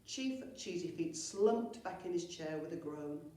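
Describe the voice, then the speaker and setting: A woman's voice: lowish voice, animated, a little slow, warm tone. A woman reading a children's story expressively, as a storyteller at a primary school. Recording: very echoey room, far from mic.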